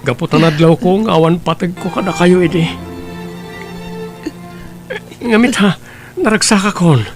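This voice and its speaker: An actor playing a grown son in an Ilocano drama, pleading slowly and emotionally with his mother in remorse. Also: high voice. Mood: tearful, loving. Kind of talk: dramatic first-person monologue in an Ilocano radio drama. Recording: music under the voice.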